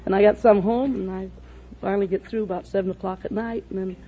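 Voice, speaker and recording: A woman's voice: medium pitch, steady tone, quick pace. A middle-aged woman answering a quiz host's questions as a contestant, plain-spoken and hesitant. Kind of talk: unscripted interview answers. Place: stage before a studio audience. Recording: phone-like old radio recording, faint noise.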